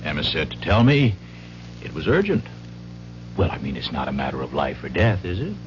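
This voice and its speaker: A man's voice: rumbling low, sing-song, brisk, noisy background. An actor playing a folksy small-town police chief in a radio drama, a man asking skeptical questions. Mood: skeptical, curious. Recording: dull vintage radio broadcast, music behind.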